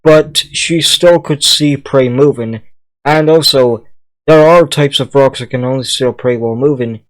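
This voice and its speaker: A man's voice: medium pitch, moderate pace, rough recording. A man chatting casually with friends, rambling through confident, jokey trivia. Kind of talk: casual chat among friends.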